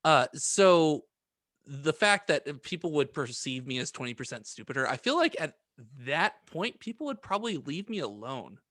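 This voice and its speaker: A man's voice: on the high side, sing-song, moderate pace, a bit bright, no room sound, clean studio sound. A young adult man in casual podcast chat who rambles and stumbles over his words, putting himself down as he goes. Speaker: podcast co-host.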